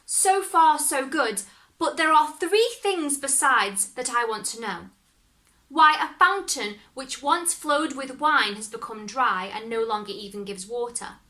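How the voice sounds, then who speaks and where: A woman's voice: high voice, a little slow, sharp tone, pitched above the performer's own voice. A woman voicing a bold, confident young male fairy-tale hero in a storybook, speaking emphatically. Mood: polite, eager.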